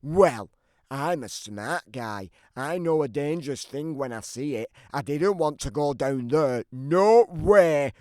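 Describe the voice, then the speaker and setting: Grumpy hungry giant, acted by a man; theatrical children's storybook read, fairly high for a man, close dry sound. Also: animated, takes it slowly, mellow, no room sound. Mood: wry, sulky. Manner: theatrical, emphatic storybook delivery. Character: grumpy, aggrieved, always-hungry big giant telling his side. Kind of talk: scripted children's storybook narration.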